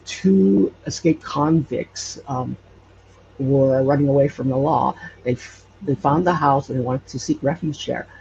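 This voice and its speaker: A man from Hawaii telling stories casually as an interview guest, halting and searching for words as he goes. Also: medium pitch, vocal fry, warm tone. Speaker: podcast guest telling local legends. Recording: dull lo-fi audio, faint noise.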